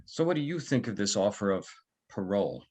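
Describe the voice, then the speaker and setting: A man playing a calm, probing security guard turned investigator in a naturalistic script read, low-key and terse. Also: brisk, slightly rough.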